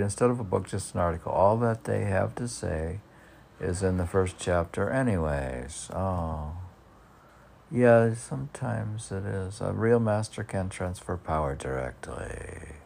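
Guy reading poems real slow and low, kinda mumbling thoughts to himself, gravelly, some noise behind. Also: a little mellow. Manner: halting, musing, talks back to himself. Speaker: poet reading own work and commenting. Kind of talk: informal poetry reading with conversational asides. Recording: noticeable background noise.

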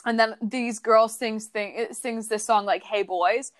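A young woman co-hosting a casual podcast chat, with a voice a little higher than most. She tells stories in a blunt, crude, playful way. The sound is dry, with no room echo. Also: fairly even intonation, measured pace. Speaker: podcast co-host.

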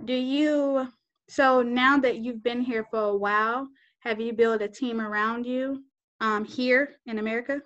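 A woman's voice: a little high, fairly even intonation, a little slow, a bit bright, dull audio. A woman hosting an interview, mostly giving short affirming replies and brief questions. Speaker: interview host.

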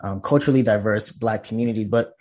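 A man's voice: fairly low pitch, fairly even intonation, fast, darkish tone. A man from Nigeria, a professor guest in a conversational interview, explaining earnestly with many fillers and restarts.

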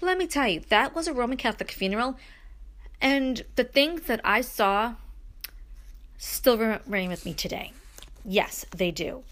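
A woman's voice: a little high, animated, moderate pace, pauses often, a bit bright. A woman from Brooklyn hosting her own podcast, talking alone in a chatty, rambling way with many ums and restarts. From Brooklyn, New York. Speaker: podcast host and author. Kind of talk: solo podcast monologue.

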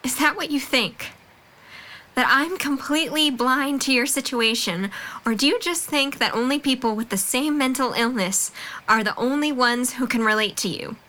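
A woman acting a frank young college student in an audio drama. Her voice is high and bright, and she comes across as earnest and firm, a supportive friend with limited patience. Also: normal speed. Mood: indignant. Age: young adult.

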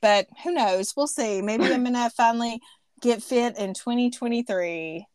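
A woman's voice: a little high, moderate pace, a little mellow, smooth, dry recording. A woman in her thirties in casual, self-deprecating chat, often laughing and trailing off mid-sentence. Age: 30s.

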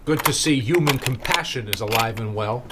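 A man's voice: medium pitch, normal speed, heavy background noise, natural voice. An actor playing a dry, insistent male police detective in an audio drama, formal and sardonic.

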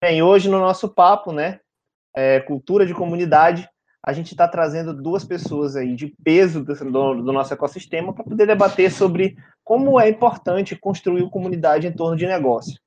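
Male podcast host, Brazilian Portuguese accent, high voice, informal and chatty. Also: normal speed, darkish tone, dull audio. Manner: informal, chatty, frequent tag questions. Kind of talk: casual conversational podcast hosting.

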